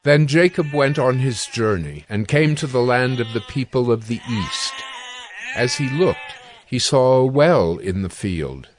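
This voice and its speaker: A man narrating scripture from a script in a measured, formal way. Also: mid-range voice, background noise.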